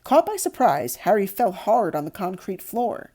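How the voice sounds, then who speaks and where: A woman reads a storybook aloud as narrator. Her voice is soft-edged and mellow, and her pitch moves around a lot as she tells it expressively. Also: very animated, moderate pace, slightly rough. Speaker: audiobook narrator.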